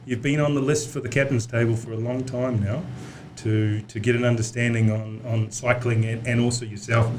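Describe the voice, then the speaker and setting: A man's voice: medium pitch, level tone, normal speed, few pauses, slight background noise, poor audio. Hesitant, rambling podcast host, a man. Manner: hesitant, rambling questions with many fillers. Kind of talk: casual interview.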